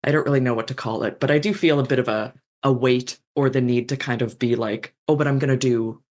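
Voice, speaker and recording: A fanfiction author in their thirties, a guest in a casual podcast interview, speaking thoughtfully and self-deprecatingly with many fillers and pauses. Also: medium pitch, fairly even intonation, a little mellow.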